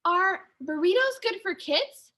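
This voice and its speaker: A woman's voice: very high-pitched, lively intonation, takes it slowly, bright, forceful tone, voice pushed up, exaggerated voice. A woman voicing an eager, caring young schoolgirl in a children's storybook read-aloud. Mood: curious.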